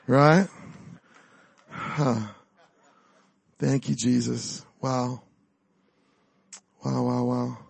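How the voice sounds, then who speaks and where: Exuberant New Zealand preacher, dry sound. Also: male voice, a little high, vocal fry, fairly bright tone, no echo. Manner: chatty, exuberant, laughs often, rallies the crowd. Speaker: guest preacher. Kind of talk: church sermon with personal testimony. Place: church.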